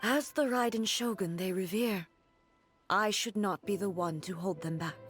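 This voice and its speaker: A woman's voice: medium pitch, faint music behind, close to an everyday voice. A woman playing a solemn, resolute female ruler in a video game, speaking in a formal, dignified manner. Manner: formal, measured, stately. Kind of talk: video game character acting.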